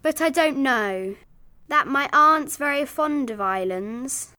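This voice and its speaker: Little storybook girl, super high n bright, earnest, close dry sound. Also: animated, slow, no room sound, exaggerated voice. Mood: doubtful. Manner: earnest, childlike, plain-spoken. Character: earnest, soft-hearted little girl with a wish to help. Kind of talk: children's storybook character voice.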